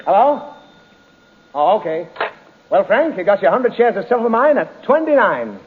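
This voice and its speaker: A man's voice: high voice, sing-song, moderate pace. An actor playing a breezy, slippery stockbroker in a 1930s radio comedy, talking like a glib, reassuring salesman. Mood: smooth, reassuring. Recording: tinny, echoing, noisy 1930s radio recording.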